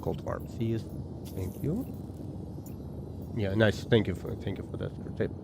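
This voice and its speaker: A man's voice: lowish voice, a little slow, gravelly. A man with an Armenian accent streaming a space game, chatting casually with his viewers and often repeating his words. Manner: rambling, repeats words, talks to chat. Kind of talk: casual live-stream chat while gaming. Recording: game noise behind the voice. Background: game sounds.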